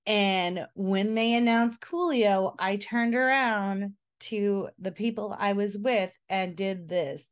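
A woman in her thirties from Florida co-hosting a casual podcast, her voice a little hoarse, laughing often. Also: mid-range voice, slow pace, few pauses. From Florida, United States. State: slightly hoarse. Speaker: podcast co-host. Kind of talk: casual chat.

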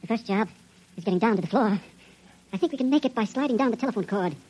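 A man's voice: fast, darkish tone, slightly rough. An actor in an old radio drama playing a resourceful, practical shrunken man, with his voice sped up to sound tiny. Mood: determined. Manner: practical, urgent. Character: resourceful, determined five-inch shrunken man. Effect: sped-up, pitched-up tiny voice. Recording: noisy, dull vintage radio recording.

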